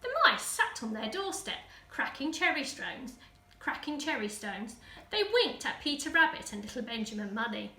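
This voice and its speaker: A woman's voice: a little high, sing-song, normal speed, sharp tone, slight background noise. A woman with an English accent reading a children's story expressively, with dramatic emphasis for young listeners. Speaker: school teaching assistant reading a story. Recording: roomy echo, distant microphone.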